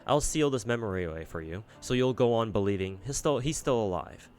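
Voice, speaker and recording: Brief: tender, soothing guardian, soft-spoken, played by a man. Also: lowish voice, expressive, brisk, faint music behind, lightly acted. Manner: soft, quiet, reassuring.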